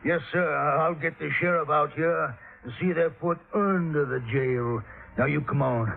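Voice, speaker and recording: A man's voice: fairly high pitch, a little slow, creaky. An actor playing an irascible, bossy old Southern man who blusters and repeats himself in a 1950s radio drama. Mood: impatient, demanding. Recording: muffled narrow-band 1950s radio recording.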